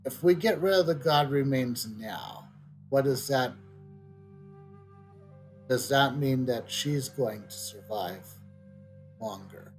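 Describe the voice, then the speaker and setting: Guy streaming a game, talks real slow and halting, thinking out loud, some music behind. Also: mid-range voice. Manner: halting, pensive, thinking aloud. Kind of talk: casual live playthrough commentary.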